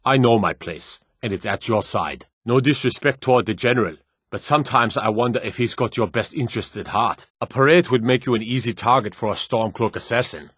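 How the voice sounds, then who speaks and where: Stern male city guard, fantasy video game, gruff and husky, very dry close sound, formal, dutiful. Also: medium pitch, fairly quick, fairly bright tone, no room sound. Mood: earnest, concerned. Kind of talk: scripted game character dialogue.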